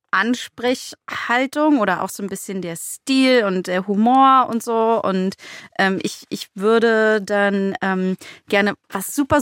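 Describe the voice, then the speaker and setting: A woman's voice: medium pitch, fairly bright tone, no echo, clean studio sound. A woman co-hosting a podcast in casual, informal and enthusiastic chat. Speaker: podcast co-host.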